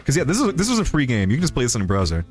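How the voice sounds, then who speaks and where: A man's voice: mid-range voice, wildly swooping pitch, very fast, faint music behind. A man hosting a livestream, reading a visual novel aloud and chatting casually about it. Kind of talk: narration and casual livestream chat.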